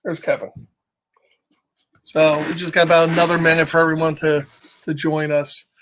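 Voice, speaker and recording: A middle-aged man hosting a live children's story time, reading aloud with animated, playful asides and questions to his listeners. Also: on the high side, normal speed, background noise. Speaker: storybook read-aloud host. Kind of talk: live children's story time, chatting with viewers between lines of the book.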